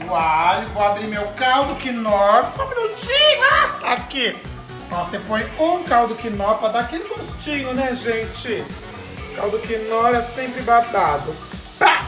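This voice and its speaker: Campy Brazilian woman host cooking. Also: fairly high pitch. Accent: Brazilian Portuguese. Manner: flamboyant, campy slang, playful exclamations. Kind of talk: casual cooking demonstration. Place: home kitchen, indoors. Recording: echoey kitchen with music behind.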